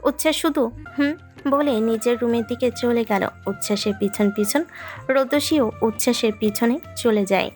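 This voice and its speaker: A woman narrates a romantic audio story in a fairly high voice. Her delivery is scripted storytelling, with music playing softly behind her. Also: normal speed. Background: background music.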